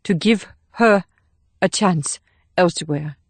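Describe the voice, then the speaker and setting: An actress playing a resolute, sorrowful foreign assassin who speaks in formal, clipped phrases in an audiobook. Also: female voice, fairly low pitch, takes it slowly, no room sound. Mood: earnest, sorrowful. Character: resolute foreign woman assassin, sorrowful, stoic, protective of a child. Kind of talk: audiobook character acting.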